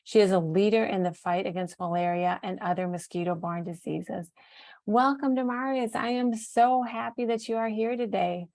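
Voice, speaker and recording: A woman's voice: medium pitch, moderate pace, a little mellow, no room sound. A woman hosting an interview podcast, reading a guest introduction and then asking questions. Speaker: podcast host.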